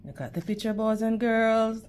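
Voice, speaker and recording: A woman's voice: a little high, steady tone, moderate pace, darkish tone, a little hiss or hum. A woman reading a children's story aloud in a teacherly way, addressing young listeners directly. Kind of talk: animated storytime narration with questions to children.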